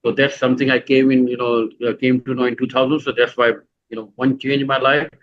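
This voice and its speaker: Guest: middle-aged Pakistani-accented man, mid pitch, halting and filler-heavy, slightly muffled lo-fi sound. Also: level tone. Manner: halting, full of "you know" fillers and restarts. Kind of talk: conversational interview answers.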